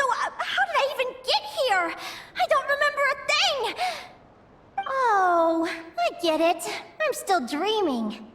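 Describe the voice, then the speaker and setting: A woman voicing a cheerful, impulsive young adventurer in a game, bubbly and quick to exclaim. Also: very high-pitched, pushed and bright, exaggerated voice. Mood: eager. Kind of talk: game cutscene voice acting.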